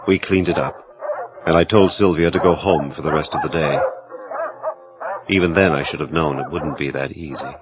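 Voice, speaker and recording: An actor playing a self-absorbed scientist in an audio horror drama, speaking in formal, precise, clinical phrases. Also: male voice, very deep voice, fairly even intonation, normal speed, pauses often, over loud music. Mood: wry, reflective. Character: self-absorbed male scientist, coolly clinical, obsessed with his work. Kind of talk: audio horror drama acting with first-person narration. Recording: dull lo-fi audio with faint noise.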